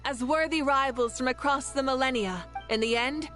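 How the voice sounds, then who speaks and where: A woman's voice: high voice, moderate pace, fairly bright tone, soft background music. A woman voicing a proud, composed and gracious warrior ruler in a video game, with stately, assured speech.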